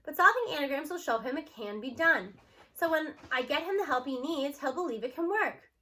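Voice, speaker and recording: A woman narrates a story aloud in the first person. Her voice is high and bright, and her reading is expressive. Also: a little fast.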